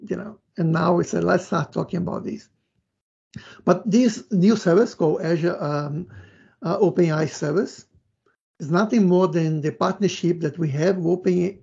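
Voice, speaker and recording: Brazilian guy doing a casual tech talk, gravelly kinda mellow voice, muffled audio. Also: sits high. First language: Portuguese. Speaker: tech presenter. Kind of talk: informal tech presentation.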